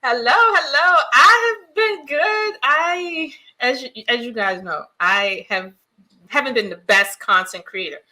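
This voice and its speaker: Bright, laughing middle-aged woman storyteller. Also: sits high, animated, measured pace. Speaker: guest, children's book author. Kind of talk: casual podcast chat.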